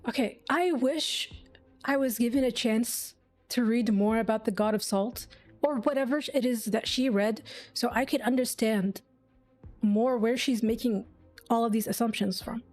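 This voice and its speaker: Female streamer, slightly high pitch, wry off-the-cuff gameplay reactions, light game music underneath. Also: moderate pace, a little mellow. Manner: wry, sceptical, thinking aloud. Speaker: gaming streamer. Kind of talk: casual reaction commentary over gameplay.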